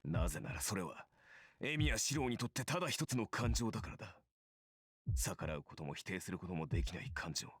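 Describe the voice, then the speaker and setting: A low, scornful anime swordsman. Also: lively intonation, husky, no room sound, studio quality. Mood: cold, probing. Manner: cold, accusing, deliberate. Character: stern, scornful man confronting a younger idealist. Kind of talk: Japanese visual novel voice acting. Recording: game dialogue over loud music.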